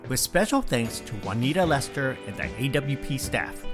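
Man, scripted credits read, lively intonation, mid-pitched, guitar music behind. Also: normal speed. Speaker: podcast producer reading credits. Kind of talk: scripted narration.

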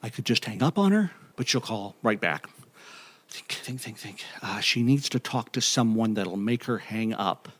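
A man's voice: fairly low pitch, lively intonation, normal speed, a bit bright. A man playing a goofy, eager, flustered office worker in an audio comedy, with a wisecracking manner. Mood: anxious.

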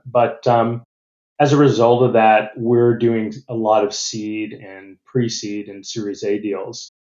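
A middle-aged American man giving measured, businesslike interview answers and often restarting his phrases. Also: on the low side, darkish tone, roomy sound, highs cut off. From United States. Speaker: venture capital partner.

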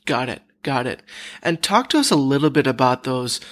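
Male podcast host, mid pitch. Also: animated, normal speed. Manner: scripted intro, then hesitant chatty questions. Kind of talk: conversational podcast interview.

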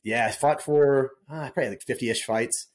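Middle-aged man, podcast guest, casual storyteller, medium pitch, laid-back slang. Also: normal speed.

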